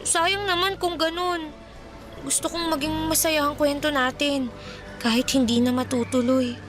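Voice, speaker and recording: An actress playing a practical, wistful young girl in puppy love, gently earnest, in a Tagalog radio drama. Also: sits high, steady tone, moderate pace, soft background music, natural voice. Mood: wistful, resigned.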